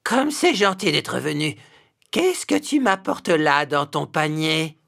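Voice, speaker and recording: Croaky, sly big bad wolf. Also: medium pitch, sing-song, fairly bright tone, heavily acted. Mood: sly, coaxing. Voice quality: gravelly, croaky. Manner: slow, coaxing, menacing. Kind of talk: children's cartoon storytelling. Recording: prominent music under the voice.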